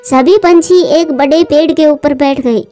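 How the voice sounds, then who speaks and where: A woman's voice: sits high, a little slow, warm tone, faint music behind. A woman narrating a scripted children's animal fable as a storyteller.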